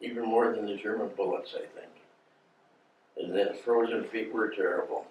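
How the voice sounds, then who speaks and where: An elderly American man gives an informal talk as a veteran, recalling things plainly and haltingly. He sounds distant from the mic in an echoey room with faint noise. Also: lowish voice, fairly even intonation, a little mellow. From United States. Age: 90s. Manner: halting, plain-spoken storytelling with pauses. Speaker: war veteran, guest speaker. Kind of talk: informal talk, reminiscing to an audience. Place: indoor meeting room before an audience.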